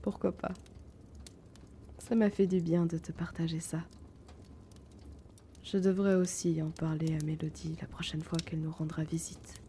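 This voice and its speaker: A gentle, wounded young man who sells potions, acted by a woman, in an intimate fantasy audio drama. The voice is soft and dark, slightly low for a woman, unhurried and hesitant, with breathy confiding turns. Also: little pitch movement, slight background noise. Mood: grateful, soft.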